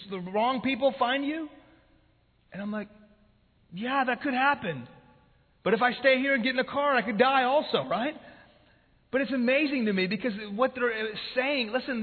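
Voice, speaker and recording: A man from Iran, whose first language is Farsi, preaching a sermon as a pastor, swinging from loud passion to hushed tones. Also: sits high. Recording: phone-like sound with long hall reverb.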